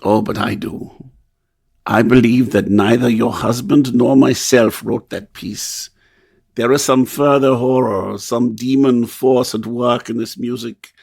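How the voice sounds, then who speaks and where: A man acts out an anguished, obsessed composer in an old-time radio drama. His voice is gravelly and mid-pitched, and he speaks slowly with brooding, theatrical intensity, like someone afraid he is going mad. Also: fairly even intonation, a little mellow, dry recording. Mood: haunted, grim. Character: haunted, obsessed male composer, anguished and fearful of madness.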